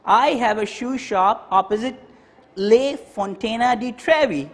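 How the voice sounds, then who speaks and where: A man reading aloud as a friendly, helpful Italian shoe shop owner, pitched noticeably high for a man and read slowly, line by line from a textbook dialogue.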